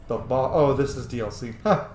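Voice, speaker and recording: A man's voice: mid-range voice, a little fast, a little mellow, faint music behind. Man gaming in a roomy, echoey space. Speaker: game player and host of a roleplay playthrough. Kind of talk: casual gameplay commentary, reading in-game messages aloud. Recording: roomy echo, faint background noise.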